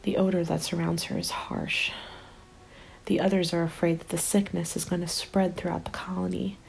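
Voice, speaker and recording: A woman playing a haunted, determined zombie apocalypse survivor in an ASMR roleplay, speaking in a soft, breathy half-whisper with an intimate, deliberate delivery. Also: fairly low pitch, flat delivery, rough recording. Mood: grieving, bleak. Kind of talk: ASMR roleplay, dramatic monologue. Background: background music.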